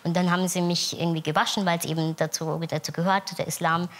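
A woman in her thirties, born in Turkey, telling her own story as a guest in a TV studio, frank and quick to laugh. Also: on the low side, fairly even intonation, a little hiss or hum. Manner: frank, laughs easily, many fillers. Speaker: talk show guest. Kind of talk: casual chat. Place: TV studio with audience. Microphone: lapel.

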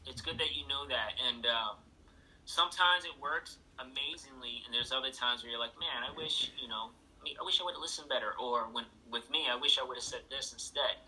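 A man's voice: mid-range voice, brisk, bright, forceful tone, slight background noise, low-quality recording. A middle-aged man speaking casually and emphatically as an interviewed author on a video call, repeating phrases for effect. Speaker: interviewed author and thru-hiker. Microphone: video call played through a laptop speaker.

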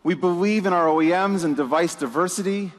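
A man's voice: sits high, brisk. A man giving an upbeat conference keynote, emphatic, with a few "you know" fillers. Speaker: keynote presenter. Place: large conference hall. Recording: reverberant hall with audience.

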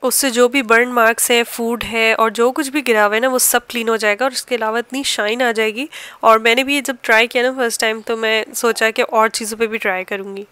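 A woman with a Pakistani accent narrates a household vlog in a chatty, explanatory style. Her voice sits fairly high and is very smooth and clear. The sound is dry, with little room in it. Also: steady tone, a little fast, sharp tone, no room sound. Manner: chatty, explanatory, homely everyday talk. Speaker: home cooking and family vlogger. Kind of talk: casual vlog voice-over narration.

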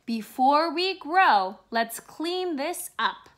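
A woman reads a picture book for young children. Her voice is high and bright and swings widely as she acts out each line, taking her time with exaggerated expression. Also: lively intonation, slow pace. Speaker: early childhood storybook reader. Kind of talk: animated children's picture book read-aloud.

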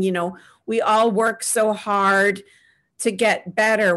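A middle-aged woman speaking from a small home room over a webcam, talking in an earnest, advice-giving way. She often pauses with "um" as she answers questions on a video call panel, at a medium pitch. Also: fairly bright tone.

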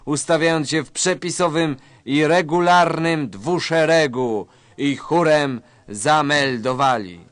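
A man's voice: fairly high pitch, slow pace, fairly bright tone, no room sound. A man narrating a satirical story in a scripted, dramatic storytelling style.

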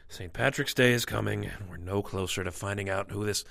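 A man playing a weary, principled lawman in a noir radio drama, grave and measured. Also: fairly low pitch, vocal fry, fairly bright tone, dry recording. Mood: brooding.

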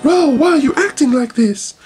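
Young heroine, frightened but defiant, high falsetto; a man doing the voice. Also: normal speed, a little mellow, soft background music. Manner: high falsetto, pleading then shrill.

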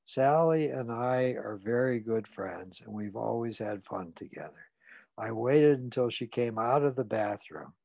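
A man's voice: medium pitch, animated, creaky, a little mellow, no echo, voice pushed down, rough recording. A man reading an audiobook, voicing a frightened, ashamed man who speaks in a muted voice. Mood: shamed. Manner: muted, halting, confessional. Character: ashamed, frightened middle-aged man confessing.

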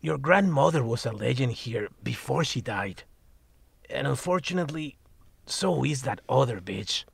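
Man voicing a talking cat, audio drama, raspy gravelly, dry close studio sound. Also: mid-range voice, animated, normal speed, dry recording. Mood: wry. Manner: blunt, wry, lecturing. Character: sardonic male magical talking cat, wise mentor.

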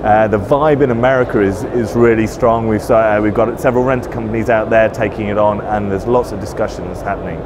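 A man's voice: lowish voice, fairly even intonation, fairly quick, few pauses. A man in his forties, a company director, speaking conversationally and enthusiastically in an interview, often restarting his sentences. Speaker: managing director being interviewed. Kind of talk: conversational trade-show interview. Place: large indoor trade show floor. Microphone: lapel. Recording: noisy busy exhibition hall. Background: loud trade show ambience.

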